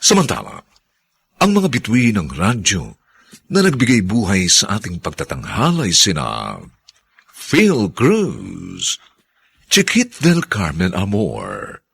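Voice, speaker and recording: A man announcing a radio drama in a formal, theatrical scripted style, grand and deliberate. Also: on the low side, gravelly, fairly bright tone, breathy edge, no room sound.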